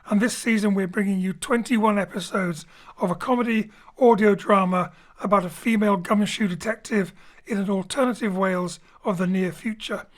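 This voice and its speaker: Relaxed, chatty male show host. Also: high voice, moderate pace. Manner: relaxed, chatty, lightly joking. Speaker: host and director. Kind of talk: friendly show introduction. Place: home studio. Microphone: home studio mic.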